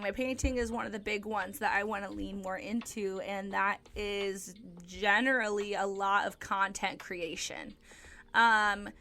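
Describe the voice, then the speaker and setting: Young woman, podcast host, casual upbeat chat, fillers, mid pitch, faint background music. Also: sharp tone. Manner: chatty, upbeat, frequent ums and likes.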